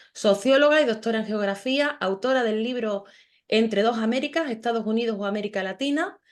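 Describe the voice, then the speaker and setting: A woman moderating a panel discussion, reading out guest introductions in a formal, measured way with small restarts. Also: a little high.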